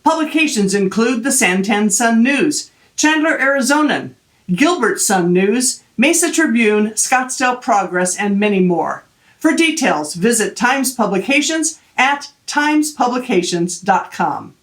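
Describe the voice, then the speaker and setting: A woman hosting an arts web video series, reading scripted introductions and interviewing a guest in an even, clear delivery. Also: a little high, measured pace. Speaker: host.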